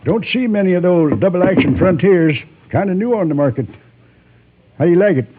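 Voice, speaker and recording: Western gunsmith: folksy, plain-spoken American, highish voice, firm but chatty, thin vintage radio sound. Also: male voice, animated, phone-quality sound. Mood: friendly, chatty. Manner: folksy, plain-spoken, drawn-out homespun phrasing. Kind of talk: old-time radio western acting. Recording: thin, faintly noisy old radio broadcast.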